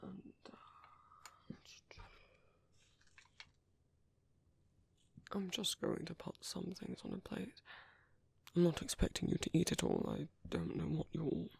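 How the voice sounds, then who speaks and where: Soft british guy doing a vampire priest for asmr, caring n polite, creaky whispery voice, super close dry mic. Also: fairly high pitch, wildly swooping pitch, moderate pace, no echo, full character voice. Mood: attentive, hesitant. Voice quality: breathy, whispery. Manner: hesitant, polite, reassuring, softly murmured. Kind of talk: ASMR roleplay, speaking to the listener. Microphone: close microphone.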